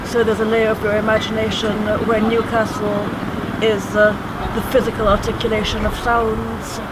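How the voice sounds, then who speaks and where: A man from Trinidad talks poetry in a high, evenly pitched voice, weighing each thought with measured care. He is recorded outdoors by a city waterfront. Traffic hums clearly behind him. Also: monotone. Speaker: poet. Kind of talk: reflective conversation.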